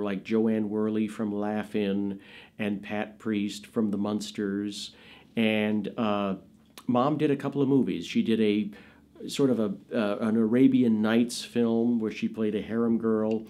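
A man in his fifties telling family stories in a casual, animated way, recorded in a small studio. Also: fairly low pitch, measured pace. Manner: animated, expressive storyteller. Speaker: interviewee. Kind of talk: casual storytelling.

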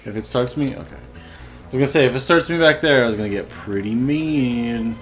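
A man's voice: medium pitch, normal speed, faint music behind. A young man hosting a let's play, chatting casually and swearing with frustrated outbursts. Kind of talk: casual gameplay commentary.

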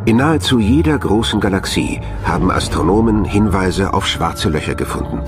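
A man narrates a documentary in a very deep voice with lively, swinging intonation. His scripted delivery is measured and dramatic, and music plays clearly behind him. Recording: voice over a music bed.

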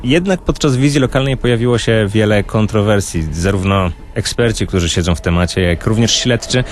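A man speaking as a true-crime expert in a radio discussion, explaining cases in a measured, storytelling way. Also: on the low side.

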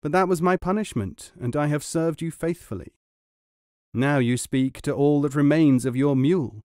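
Young highborn trickster student for a storybook, acted by a man, earnest, formal and sanctimonious, dry close recording. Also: moderate pace, dark tone, smooth, no echo, natural voice. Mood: pleading. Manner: earnest, pleading, formally polite. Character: sly young nobleman student, pious, earnest, falsely wronged. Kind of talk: storybook character reading.

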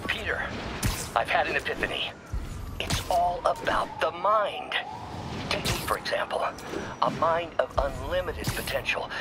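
Old visionary scientist in a game, grand speechy delivery, swooping pitch, music under it. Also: male voice. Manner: measured, oratorical. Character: older visionary male scientist, weary yet grandiose. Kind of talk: game voice acting.

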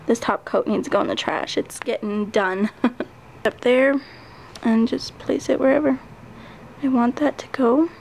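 A woman's voice: a little high, fairly even intonation, measured pace, creaky, long pauses, a little mellow, slight background noise, low-quality recording. A woman chatting casually through a nail polish review, full of ums and little laughs. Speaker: nail art vlogger. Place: home table, hands on camera.

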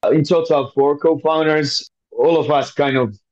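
A man's voice: fairly high pitch, fairly even intonation, moderate pace, highs cut off. A man from Finland with a Finnish accent, answering conversationally as an interview guest. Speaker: podcast guest, scientist.

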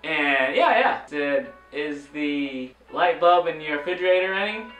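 Bright, lively young man joking around. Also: a little high, a little slow, soft background music. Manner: playful, mock-serious. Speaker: co-host of a comedy trivia show. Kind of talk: casual joking banter. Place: small furnished room indoors. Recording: roomy echo, slightly distant mic.

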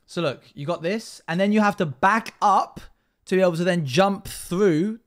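A man's voice: high-pitched, moderate pace. Young man chatting through a gaming livestream. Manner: chatty, reads chat messages aloud and answers. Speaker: live streamer. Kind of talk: casual gaming stream commentary. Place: small home room, indoors.

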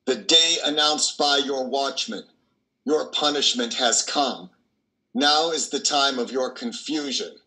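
A man's voice: medium pitch, slow pace, bright, forceful tone, low-quality recording. An older man playing a compassionate, anguished priest, earnest and pleading, in a stage play reading. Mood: solemn, earnest. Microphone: video call.

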